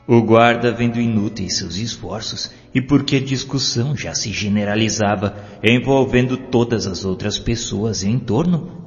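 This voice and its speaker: A Brazilian man with a low voice narrates devotional stories in a solemn, expressive storytelling manner. The sound is slightly dull and sits in a long reverb, with music playing underneath. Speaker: audiobook narrator. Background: background music.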